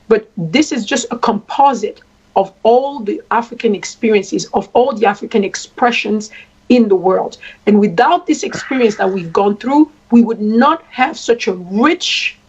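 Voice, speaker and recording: A woman from Congo, a traditional queen, speaking at length in a deliberate way and repeating phrases for emphasis. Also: a little high, slightly rough. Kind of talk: spoken address. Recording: dull lo-fi sound.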